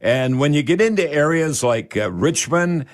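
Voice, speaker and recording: A man's voice: fairly high pitch, expressive. Folksy older man hosting radio. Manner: folksy, halting, repeats himself. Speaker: radio call-in show host, home repair expert. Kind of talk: conversational radio advice, explaining to listeners.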